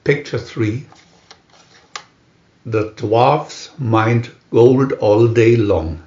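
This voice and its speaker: A man reading a scripted storybook aloud in a deliberate, measured manner with pauses between captions. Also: mid-range voice, a little mellow. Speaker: storybook reader. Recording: roomy, dull lo-fi sound.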